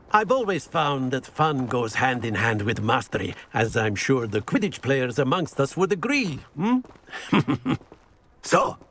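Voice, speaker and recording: An actor playing a jovial wizard professor in a video game, theatrical and playful, with a husky voice. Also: male voice, mid-range voice, a bit bright, slight background noise, heavily acted. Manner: theatrical, playful, with drawn-out tag questions. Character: jovial, theatrical male wizard professor who relishes contests. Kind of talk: video game character acting.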